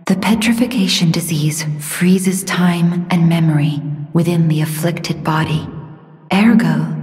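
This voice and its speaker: Gentle mystical young woman, sorrowful video game guide, soft airy voice, very slow solemn delivery, long reverb. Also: lowish voice. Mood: solemn, grave. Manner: slow, solemn, measured, earnest. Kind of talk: dramatic video game voice acting. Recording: long reverb, music behind the voice.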